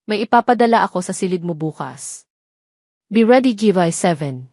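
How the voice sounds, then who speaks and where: A woman's voice: mid-range voice, animated, normal speed, mellow, no echo, clean studio sound, lightly acted. Cold, curt businessman husband, voiced by a woman. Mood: cold, irritated. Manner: curt, commanding, detached.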